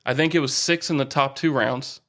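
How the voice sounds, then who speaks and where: A man's voice: mid-range voice, steady tone, brisk, fairly bright tone. A man with an American accent co-hosting a sports podcast in casual, bantering conversation.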